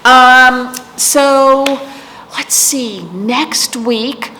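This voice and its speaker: A woman hosting a storytime for children, reading aloud and chatting in a gentle, playful manner to young listeners. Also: high voice, extremely slow. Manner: gentle, playful, addressing young viewers as friends. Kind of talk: read-aloud and chat for children. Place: indoors, room with aquamarine wall. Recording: echoey room with clear background noise.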